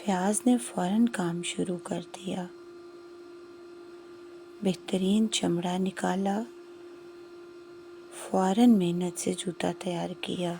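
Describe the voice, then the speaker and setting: Woman, storyteller, creaky mellow voice, measured short phrases, scripted moral tale, audible hum. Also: fairly low pitch, steady tone.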